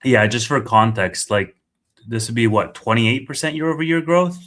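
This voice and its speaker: A man's voice: mid-range voice, lively intonation, moderate pace, fairly bright tone. A man hosting a casual live commentary, conversational and thinking aloud as he reads out figures. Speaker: live stream host.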